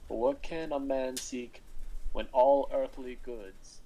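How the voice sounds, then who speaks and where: A man playing a mysterious, philosophical entrepreneur in a screenplay read, grandiose and deliberate. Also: medium pitch, fairly even intonation, dark tone. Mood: grandiose, grave. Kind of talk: script table read for a screenplay.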